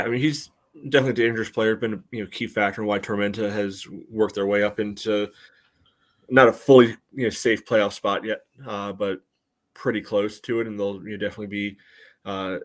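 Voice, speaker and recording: A man with a fairly low voice talks as a sports podcast co-host. His analysis is casual and conversational, and he qualifies his points as he goes. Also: fairly even intonation, brisk.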